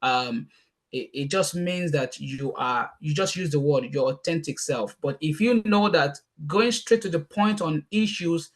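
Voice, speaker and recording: A man from Nigeria with a Nigerian accent, whose first language is Yoruba, speaking as a guest on a leadership panel and talking passionately. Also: on the high side. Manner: passionate, fast and emphatic, repeating words when excited. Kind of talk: panel talk answering questions.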